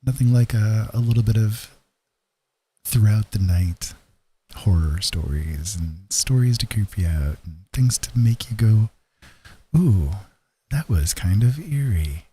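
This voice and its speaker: A man's voice: on the low side, lively intonation, unhurried, warm tone, slightly rough. A man hosting a casual livestream, chatting in an easygoing, rambling way. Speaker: host, livestreaming painter. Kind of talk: casual chat.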